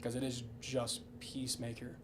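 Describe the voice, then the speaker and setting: A man's voice: mid-range voice, monotone, normal speed, fairly bright tone, slight background noise. A man co-hosting a podcast, giving opinions in casual, sweary slang and repeating his points for emphasis. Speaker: podcast co-host.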